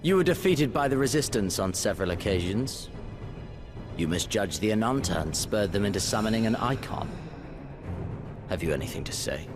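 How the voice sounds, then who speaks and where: An actor playing a cold, menacing imperial overlord in a video game, speaking in measured, formal, archaic phrases. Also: male voice, on the low side, sing-song, over music. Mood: stern, judging. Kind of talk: video game cutscene acting.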